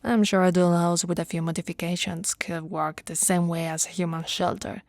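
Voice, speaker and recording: Grumpy giantess , played by a woman, dismissive and grudging toward tiny humans, mellow tone, dry close ASMR roleplay sound. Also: fairly low pitch, little pitch movement, moderate pace, dry recording, lightly acted. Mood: reluctantly softening.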